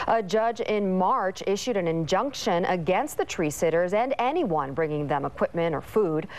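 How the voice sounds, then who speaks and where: An American woman working as a TV news anchor, delivering scripted morning news, weather and sports with brief ad-libs. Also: fairly low pitch.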